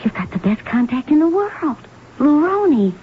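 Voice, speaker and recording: Female, high voice, a worldly gangster's daughter in 1970s radio drama; thin, lightly noisy broadcast sound. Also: very animated, normal speed, phone-quality sound. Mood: cool, scheming. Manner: slangy, familiar, hard-boiled. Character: worldly, scheming young mistress, gangster's daughter.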